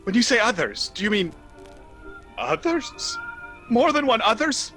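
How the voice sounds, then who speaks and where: A man's voice: very high voice, sing-song, a little slow, pushed and bright, soft background music, full character voice. Frantic, wildly swooping nephew, played by a man. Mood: appalled stammering. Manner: exasperated, stammering, wildly exclaiming. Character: frantic, appalled nephew discovering his aunts' crimes. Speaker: actor. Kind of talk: theatrical radio comedy acting.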